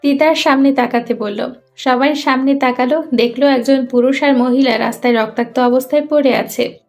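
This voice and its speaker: High-pitched woman narrating a story. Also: fairly even intonation, moderate pace, a little mellow, faint music behind.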